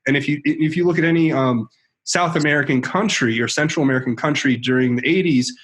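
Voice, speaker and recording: A man's voice: a little high, fast talker, a bit bright. A man hosting an interview, asking long, hesitant questions. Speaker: host. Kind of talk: interview conversation.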